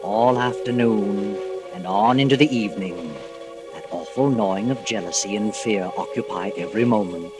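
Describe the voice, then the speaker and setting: Low, ominous radio mystery narrator, a man acting. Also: natural voice. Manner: melodramatic, brooding. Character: ominous male narrator voicing inner suspicion. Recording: vintage 1940s radio recording. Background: music.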